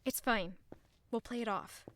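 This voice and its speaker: A woman's voice: fairly high pitch, a little slow, fairly bright tone. A woman acting as a headstrong, guarded young noblewoman in a fantasy audio drama, earnest and defiant. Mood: subdued.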